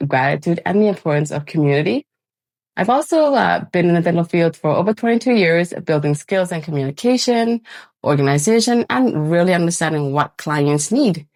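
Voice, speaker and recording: A woman in her forties introduces the family renovation company she co-founded. Her voice sits a little lower than most women's, and her delivery is upbeat and clear. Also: darkish tone. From born in Thailand, raised in Canada. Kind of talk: scripted channel introduction.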